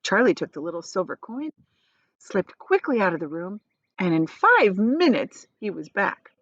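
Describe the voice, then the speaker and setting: A woman reading a children's novel aloud as a teacher would, in expressive storybook narration. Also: mid-range voice, moderate pace, no echo, highs cut off.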